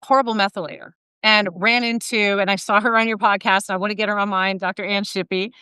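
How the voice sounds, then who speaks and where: A woman hosting a podcast interview, talking in a blunt, emphatic, animated way. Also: medium pitch, fast talker, clear, resonant tone, no echo. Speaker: podcast host. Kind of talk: casual interview conversation.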